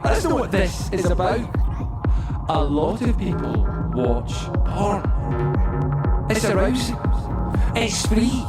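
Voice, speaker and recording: Loud throbbing hip hop runs under an echoing voice. A young male spoken-word poet rants in measured, rhyming rhythm, addressing the listener directly at a slow pace. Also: high-pitched. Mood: accusing, rhythmic. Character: young spoken-word poet ranting about himself in third person. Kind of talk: rhythmic spoken-word performance over music. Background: loud throbbing hip hop music.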